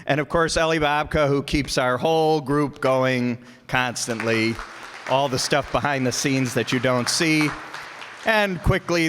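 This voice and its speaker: A man's voice: mid-range voice, fairly bright tone. A man giving informal, off-the-cuff closing thanks to an audience from a stage, in a joking way. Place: live stage in a hall. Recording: echoey hall with audience noise.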